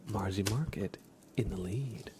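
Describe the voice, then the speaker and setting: A man's voice: on the low side, unhurried, heavy background noise. A man hosting an ASMR livestream in a whispered, casual and hesitant manner, chatting and commentating. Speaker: live streamer, host.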